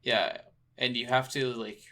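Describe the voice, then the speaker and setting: A man with a bright, forward voice at an ordinary male pitch co-hosts a gaming podcast. He talks casually with some swearing, agreeable and easygoing as he chimes in. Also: steady tone, unhurried. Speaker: video game podcast co-host. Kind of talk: casual, profane conversational game chat.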